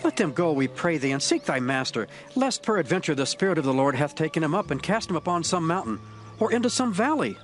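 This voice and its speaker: A man's voice: on the high side, big pitch swings, quick pace, soft background music. A man playing an earnest follower of the prophets in dramatized scripture, entreating urgently and respectfully. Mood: earnest pleading. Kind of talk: dramatized scripture audio acting.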